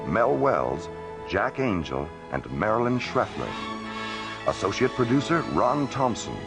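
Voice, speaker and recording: A man narrating a radio drama in a measured, portentous announcer style. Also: fairly low pitch. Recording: loud music behind narration.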